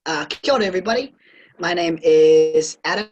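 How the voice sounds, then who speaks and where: A man giving a relaxed, casual introduction of himself on a video call, with frequent ums. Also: on the high side, a little fast, highs cut off. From United States. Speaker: actor and director.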